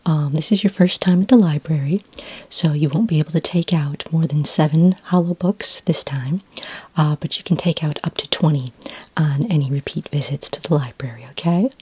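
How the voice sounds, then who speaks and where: A woman playing a friendly, helpful sci-fi librarian in a soft-spoken, half-whispered ASMR roleplay, with gentle, hesitant, unhurried delivery. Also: low-pitched, breathy edge, no echo. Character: friendly, helpful female futuristic library clerk for aliens.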